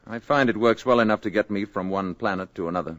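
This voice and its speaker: An actor playing a calm, grave male alien envoy in human guise, speaking in a measured, formal way in an old radio drama. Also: fairly low pitch, highs cut off, close to an everyday voice. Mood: composed. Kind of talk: 1950s radio acting.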